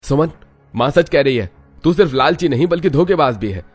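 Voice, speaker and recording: A man's voice: on the high side, animated, brisk, breathy edge, soft background music, pitched above the performer's own voice. Man acting an angry, gullible husband, voice raised. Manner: scolding, dramatic. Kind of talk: dramatized moral storytelling.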